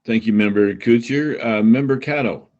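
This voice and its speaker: A man chairing a township committee meeting, calling on members and reading a formal resolution aloud in a measured way. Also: medium pitch, a little mellow, highs cut off.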